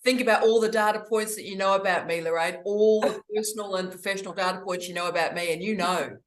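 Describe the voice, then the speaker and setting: A woman's voice: medium pitch, steady tone, fast. Witty woman on a roomy call. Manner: witty, theatrical asides, warm greetings. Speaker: academic hosting online office hours. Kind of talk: lively, opinionated casual chat. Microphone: video call. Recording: roomy echo on a video call.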